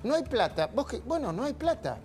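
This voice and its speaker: A man's voice: extremely high pitch, very animated, a little fast, darkish tone, faint music behind. A middle-aged man with an Argentine accent hosts a political panel in a TV studio, emphatic and insistent, often interjecting. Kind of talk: animated political panel discussion. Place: TV news studio.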